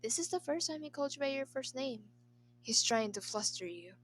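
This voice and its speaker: A woman's voice: sits high, lively intonation, fairly quick, slight background noise. A woman narrating a scripted story as an amateur reader. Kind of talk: scripted narration of a fan fiction.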